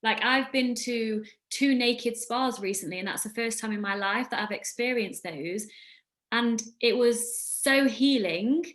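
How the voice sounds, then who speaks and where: A woman with a slightly higher voice hosts a podcast conversation. She speaks earnestly and reflectively, sharing her views in a casual way. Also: unhurried, fairly bright tone. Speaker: podcast host.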